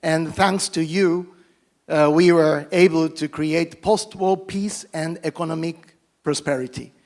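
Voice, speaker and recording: Middle-aged Japanese politician, speaking English with a Japanese accent; gravelly, slightly high voice, halting delivery with restarts and dry jokes. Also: male voice, steady tone. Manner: halting, with many restarts and self-deprecating jokes. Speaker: politician giving a guest speech. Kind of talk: formal speech in halting second-language English.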